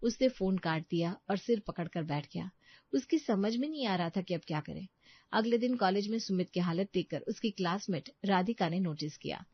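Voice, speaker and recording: A woman narrates a scripted story at a medium pitch. The recording is very dry and slightly dull, with the top end softened. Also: animated, moderate pace, slightly rough, no room sound.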